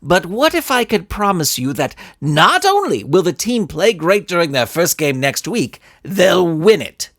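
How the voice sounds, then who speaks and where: A man voicing a composed, scheming supervillain in a story, speaking in a measured, formal and assured way. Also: sits high, big pitch swings, a bit bright, no echo, studio quality, full character voice. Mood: measured, persuasive. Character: scheming, composed, confident male supervillain with glowing skull. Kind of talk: dramatised audiobook reading.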